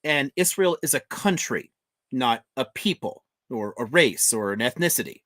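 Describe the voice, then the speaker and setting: Male host of a live stream, mid pitch, reflective, rephrases as he goes. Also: animated, moderate pace, fairly bright tone. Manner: thoughtful, restarts often, advising.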